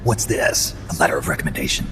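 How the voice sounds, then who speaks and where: An actor playing a gruff, terse veteran soldier in a video game, with a breathy gravel rasp and clipped delivery. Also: male voice, rumbling low, animated, fast, slight background noise, full character voice. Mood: probing, terse. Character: gruff, terse male soldier, cut-loose Cold War veteran. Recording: loud music behind. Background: music.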